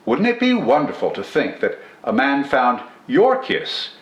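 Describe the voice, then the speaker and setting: An older man with a mid-pitched voice delivers scripted literary commentary and recitation. His phrasing is measured and formal, yet his intonation swings expressively. The sound has a noticeable room echo. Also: huge pitch swings. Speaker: poetry channel host and reader. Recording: roomy indoor echo.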